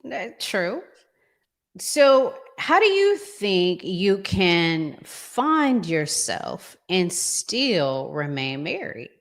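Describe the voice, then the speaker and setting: A woman co-hosting a relationship podcast, talking conversationally with deliberate, emphatic phrasing. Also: on the low side, big pitch swings. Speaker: podcast co-host.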